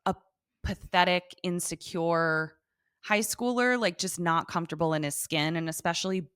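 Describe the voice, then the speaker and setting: A middle-aged woman co-hosting a podcast, expressive, teasing and sweary, recapping and bantering casually into a close microphone. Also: fairly low pitch, normal speed, clear, resonant tone, no room sound. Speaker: podcast co-host.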